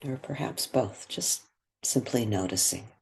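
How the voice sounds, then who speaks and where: A man leading a guided meditation in a gentle, unhurried way, with long pauses between phrases. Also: medium pitch, vocal fry, slight background noise.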